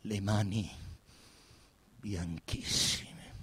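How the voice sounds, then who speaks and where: A man with a Roman accent, an actor giving an intense, theatrical reading of a literary novel, swinging between hushed murmurs and loud declamation. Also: lowish voice, steady tone, drawn-out words, husky.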